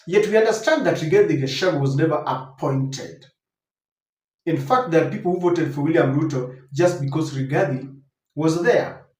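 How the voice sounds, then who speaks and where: A man with a Kenyan accent giving unscripted political commentary to viewers, emphatic and deliberate, repeating his points. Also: on the high side, a little mellow. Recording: roomy, echoing voice recording.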